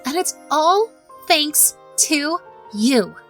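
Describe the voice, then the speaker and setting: Woman doing a tiny sweet unicorn filly, super high, swoopy and earnest, slow, audio drama. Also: animated, a bit bright, soft background music, heavily acted. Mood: grateful, earnest. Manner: earnest, childlike. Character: sweet, grateful young unicorn filly, a devoted pupil. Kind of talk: fan audio drama voice acting.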